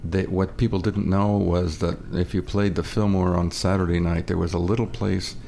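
Gravelly deep-voiced older Canadian man. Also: flat delivery, normal speed, warm tone, slight background noise. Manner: dry, wry, anecdotal. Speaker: music industry guest. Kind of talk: casual interview. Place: radio studio.

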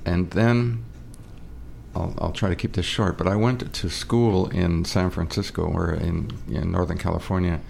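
Gravelly deep-voiced older Canadian man. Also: fairly even intonation, unhurried, darkish tone, a little hiss or hum. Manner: dry, wry, anecdotal. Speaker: music industry guest. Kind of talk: casual interview. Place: radio studio.

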